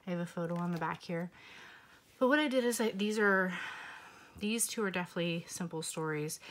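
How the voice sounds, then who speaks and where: Young woman, slightly hoarse, lower voice; relaxed chatty vlog narration. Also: brisk. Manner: relaxed, chatty, with fillers and occasional whispered asides. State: slightly hoarse, losing her voice. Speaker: scrapbooking vlogger. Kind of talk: casual walkthrough narration.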